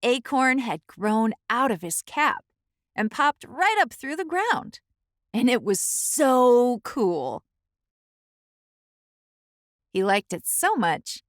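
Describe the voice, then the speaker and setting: A woman reading a children's storybook aloud as the host, in an expressive, deliberate storytelling style. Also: a little high, no echo, studio quality.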